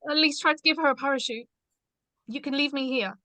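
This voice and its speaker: A woman's voice: high voice, a little fast, bright, forceful tone, dry recording. A woman playing an earnest, protective female passenger who pleads and insists, in a script read. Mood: firm, indignant. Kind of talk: screenplay table read acting.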